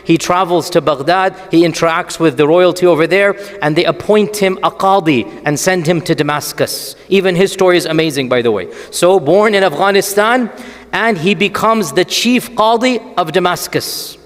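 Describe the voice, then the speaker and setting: A man giving an animated, storytelling religious lecture and often asking the audience rhetorical questions. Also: high voice, normal speed, in a large hall. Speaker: Islamic lecturer.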